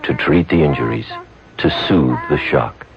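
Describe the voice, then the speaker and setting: Very deep-voiced man reading a radio ad. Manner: calm, measured reassuring read. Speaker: commercial announcer. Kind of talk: scripted radio ad voice-over. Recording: dull lo-fi vintage radio ad with music bed.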